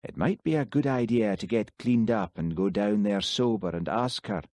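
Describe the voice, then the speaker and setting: A man's voice: on the low side, lively intonation, normal speed, dark tone, dry recording, clean studio sound, pitched above the performer's own voice, lightly acted. A man voicing a plain-spoken policeman. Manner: plain-spoken, firm questioning. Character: Highland village policeman, easygoing but stern. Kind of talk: audiobook character voice.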